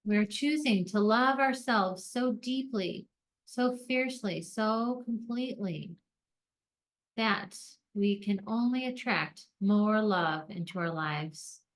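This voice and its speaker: Woman, meditation guide, slow delivery, gentle manner, mid-range pitch, short deliberate phrases, long pauses.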